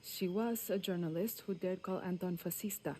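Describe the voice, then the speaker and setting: A woman's voice: on the low side, steady tone, moderate pace, dark tone, slight background noise, natural voice. An actress voicing a defiant female revolutionary leader in a video game cutscene, giving commanding, rousing speeches.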